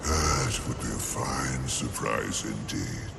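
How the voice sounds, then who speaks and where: An actor playing an ancient, weary lord of the underworld in a video game, solemn, cryptic and measured. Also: male voice, rumbling low, vocal fry, loud music behind the voice, exaggerated voice. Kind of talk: dramatic video game acting. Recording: cavernous echo, loud background noise.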